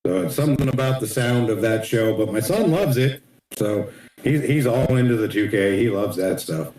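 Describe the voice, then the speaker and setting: A middle-aged man in casual podcast chat as a guest artist, talking in a relaxed, rambling way. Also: mid-range voice, moderate pace, reverberant, low-quality recording.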